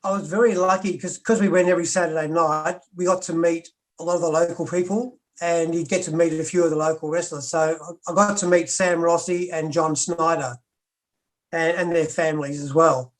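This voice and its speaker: An older Australian man, a former pro wrestler, recounting his past as an interview guest in a steady, matter-of-fact way. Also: on the high side, fairly quick, rough recording. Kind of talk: interview storytelling.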